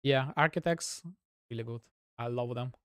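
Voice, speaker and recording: A man's voice: mid-range voice, moderate pace, clear, resonant tone, no echo, clean studio sound. A man from Russia with a Russian accent, a gaming streamer chatting casually with viewers in a rambling way, full of "like" fillers. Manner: rambling, heavy use of "like" fillers.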